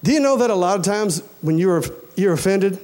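A man's voice: high-pitched, animated, brisk, darkish tone. A man in his forties preaching a sermon in a folksy, emphatic way, repeating phrases and addressing the congregation directly. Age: 40s. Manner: addresses listeners directly with questions and urgings.

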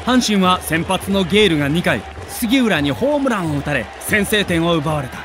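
High-voiced man in dramatic narration, noisy backdrop. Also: expressive, normal speed. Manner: theatrical, emotive, emphatic delivery. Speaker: narrator of a baseball audio documentary.

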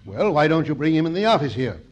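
An actor playing a pompous, sly, money-hungry veterinarian in 1940s radio comedy, speaking in a deliberate, grandiloquent way. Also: male voice, a little high, big pitch swings, muffled recording, background noise. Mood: businesslike. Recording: old 1940s radio broadcast.

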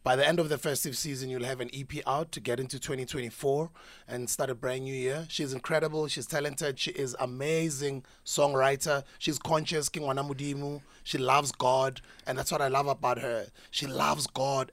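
Man DJ guest, mid-pitched. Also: steady tone, moderate pace, no room sound. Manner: warm praise and shout-outs to friends. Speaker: DJ and producer guest. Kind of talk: casual interview chat.

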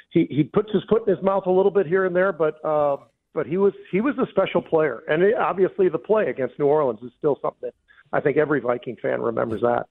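Higher-voiced American man on tinny phone line. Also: dry recording. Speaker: former pro football player, sports show guest analyst. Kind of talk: casual reflective sports talk.

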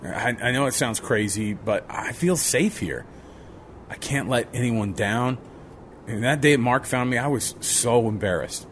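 Homeless addict, ashamed and gentle, halting confessional delivery, widely swinging pitch, faint background noise, radio drama. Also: male voice, animated.

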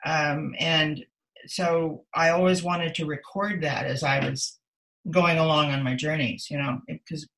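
Older female interview guest, thoughtful and conversational, crisp bright edge with vocal fry. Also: deep voice, fairly even intonation, measured pace, rough recording. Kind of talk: reflective conversational interview answers.

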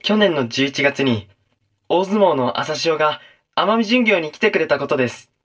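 An actor playing an earnest, modest male high school student answering politely and rather formally in a radio drama interview. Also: animated, normal speed, fairly bright tone.

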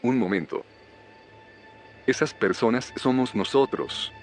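A man's voice: mid-range voice, takes it slowly, faint music behind. An actor playing a skeptical, grumbling male scientist in an audio drama, with a sardonic, doubting manner. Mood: baffled.

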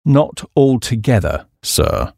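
A man voicing an unflappable, deferential English valet, with a formal, measured and deadpan British delivery. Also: medium pitch, gravelly, exaggerated voice. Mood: deferential, composed.

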